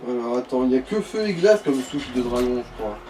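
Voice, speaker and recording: Male gaming sidekick, very quick, soft dark tone, matter-of-fact, recorded from a distance. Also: medium pitch, animated. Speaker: gaming companion commenting. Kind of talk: casual chat about a video game. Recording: distant mic with background noise.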